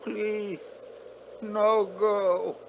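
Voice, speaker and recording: A halting, fearful old mystic man. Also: high-pitched, little pitch movement, vocal fry, a little hiss or hum, full character voice. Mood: pleading. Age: older. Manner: broken halting English, pleading. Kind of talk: 1950s radio drama acting. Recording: muffled lo-fi vintage radio recording.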